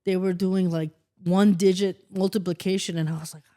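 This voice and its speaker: A middle-aged woman from the Philippines, a guest chatting casually on a podcast, who tells animated stories, acts out quotes and swears freely. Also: lowish voice, moderate pace. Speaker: guest, host's mother.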